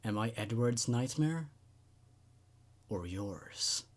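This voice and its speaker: A mouthless nightmare creature from a horror story, acted out by a man. The voice is lowered and quiet, moving slowly and ominously with quiet menace. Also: expressive. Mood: quietly menacing. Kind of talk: dramatic horror story reading.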